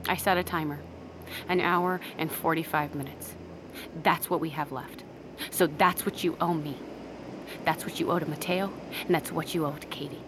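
An actress playing a tough, foul-mouthed medic in an audio drama, blunt and commanding. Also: female voice, sits low, fairly even intonation, normal speed, noisy background, natural voice. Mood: grimly determined. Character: tough, foul-mouthed female medic keeping a friend awake.

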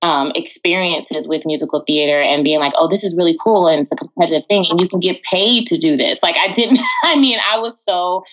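An American woman with a fairly low voice talks as a guest in a casual interview. She tells her stories chattily and slips into quoted speech. The sound is a little dull and lo-fi. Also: a little fast, hardly any pauses. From Texas, United States. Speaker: interview guest, musical theater performer. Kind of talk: casual conversational storytelling.

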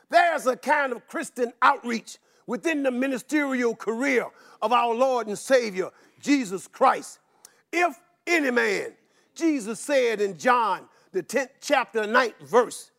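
Male preacher, very high voice, slow and deliberate, chanting repeated lists and building to shouts. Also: creaky. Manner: repeats one call in long lists. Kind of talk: sermon.

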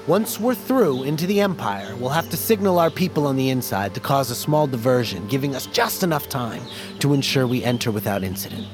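A man's voice: medium pitch, lively intonation, lightly acted. Guy playing solemn fantasy guide, mid voice, formal old-timey wording, music behind. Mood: brisk. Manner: formal, measured, archaic phrasing. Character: grave male guide and resistance leader in fantasy world. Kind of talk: fantasy audio drama acting.

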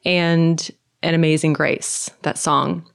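A middle-aged woman from Norway with a Norwegian accent, soft-spoken and hesitant, telling her personal story in a conversation. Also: low-pitched, steady tone, slow pace, gravelly, clear, resonant tone. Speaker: guest.

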